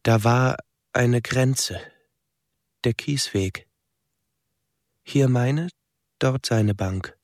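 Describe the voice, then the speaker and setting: An actor playing a withdrawn, melancholy young man who narrates a radio drama in measured, halting short phrases. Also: medium pitch, no echo, studio quality. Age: young adult. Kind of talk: literary radio drama monologue.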